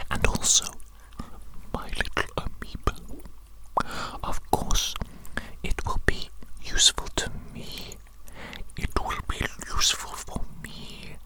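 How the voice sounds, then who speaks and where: A woman performing an ASMR roleplay as a grandiose, scheming evil scientist, whispering theatrically and repeating her phrases. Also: poor audio. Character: grandiose evil mad scientist doctor, scheming and self-important.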